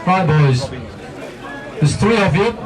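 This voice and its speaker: A man's voice: high voice, lively intonation, slow pace. A man, the team physio, handing out club ties at a post-match gathering with a joking, teasing manner. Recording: distant mic with loud crowd.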